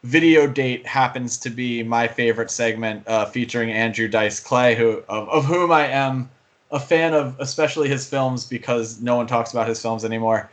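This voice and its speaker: A man's voice: mid-range voice, moderate pace. A man co-hosting a podcast, talking casually about movies in an enthusiastic, rambling way. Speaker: podcast co-host and film fan.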